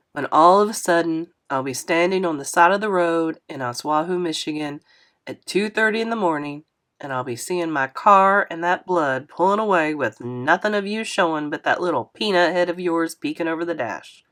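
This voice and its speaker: A kind older man who has raised kids and grandkids, teasing in a dry, fatherly way, as a woman reads him lower and softer. He sounds like an audiobook character. His lines land as wry jokes from a gentle storyteller.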